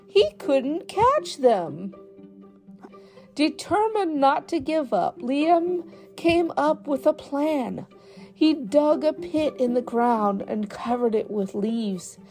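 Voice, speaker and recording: A woman reading scripted children's folk tales in a measured, deliberate storybook delivery. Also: fairly high pitch, darkish tone.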